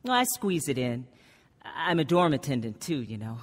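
A woman's voice: very deep voice, very animated, moderate pace, husky, heavily acted. An actress playing an earnest, determined young woman who recounts her student years in a radio drama. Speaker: narrator and lead actor. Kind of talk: radio drama acting and first-person narration. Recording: reverberant radio drama with music.

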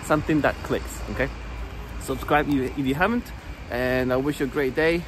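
A man's voice: mid-range voice, moderate pace, background noise. Chatty man teaching on a street. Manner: chatty, upbeat, says "okay?" often. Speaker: saxophone teacher. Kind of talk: casual walking video lesson. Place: outdoors on a rainy city street. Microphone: phone held at arm's length.

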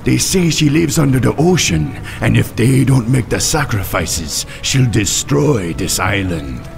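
Brief: troll hunter, Jamaican-style accent, creaky low voice, game music underneath. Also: male voice, animated, measured pace. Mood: earnest. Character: troll headhunter warrior, earnest, easygoing. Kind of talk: video game character acting. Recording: game music and rain behind.

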